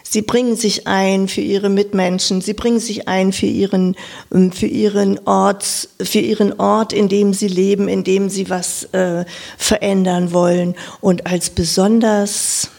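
A middle-aged woman with a northern German accent, her voice gravelly with a husky edge. She talks reflectively in an interview, stopping often for fillers as she searches for her words. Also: medium pitch, level tone, a little slow, darkish tone. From Lower Saxony, northern Germany. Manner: hesitant and reflective, many fillers. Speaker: interviewee, local council member. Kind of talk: casual interview talk.

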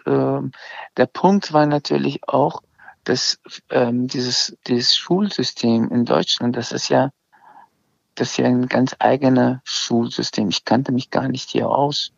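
A man's voice: mid-range voice, steady tone, slow pace, gravelly, dry recording. A middle-aged man from Iran, Azerbaijani speaker, telling his story hesitantly in a casual interview, with many restarts and fillers. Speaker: interview guest. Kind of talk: casual conversation. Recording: slightly dull lo-fi sound.

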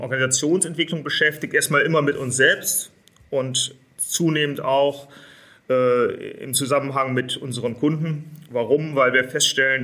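A man's voice: medium pitch, pushed and bright. A man of about fifty speaking conversationally as an interview guest, an executive answering questions.

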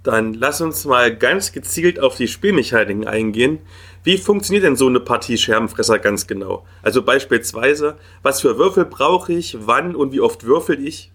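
A man's voice: mid-range voice, lively intonation, normal speed, fairly bright tone, slightly rough. A man hosting a podcast, interviewing a guest with friendly, structured questions.

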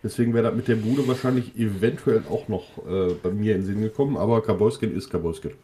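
A man's voice: fairly low pitch, fast talker, dark tone, slight background noise. A man, co-hosting a casual podcast chat, joking around and explaining words at length. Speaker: podcast co-host.

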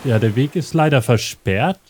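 A man hosting an interactive audio adventure as game master, with a rambling, absent-minded, deadpan delivery. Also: medium pitch, moderate pace, slight background noise. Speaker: game master and show host.

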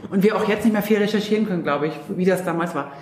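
A woman's voice: medium pitch, racing, darkish tone, reverberant, a little hiss or hum. A woman from East Germany hosting a casual interview, with a teasing, playful way of talking. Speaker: podcast host.

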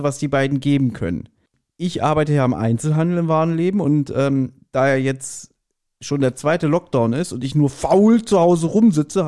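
A man's voice: a little high, darkish tone. A man hosting a podcast in a casual, chatty and self-ironic manner. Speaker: podcast host.

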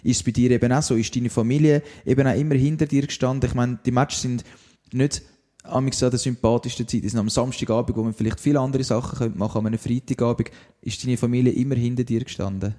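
Warm-toned Swiss German interviewer man. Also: medium pitch, fairly even intonation, fast. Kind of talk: casual interview.

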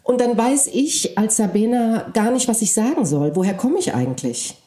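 A woman's voice: a little high, expressive, normal speed, mellow, roomy sound. A woman, a native Polish speaker who grew up in Germany, giving a thoughtful, searching interview answer with frequent hesitations. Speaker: interview guest. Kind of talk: reflective conversation.

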